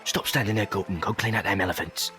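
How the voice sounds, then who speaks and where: A man playing a gruff, bossy, scolding middle-aged circus father in an audio drama. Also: deep voice, steady tone, fast, sharp tone, soft background music.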